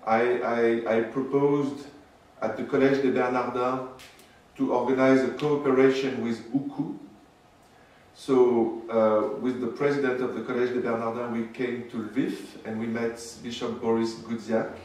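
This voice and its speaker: A man giving a public lecture as guest speaker, speaking haltingly with many fillers and restarts. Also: mid-range voice, little pitch movement, a little mellow. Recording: roomy, distant mic with faint noise.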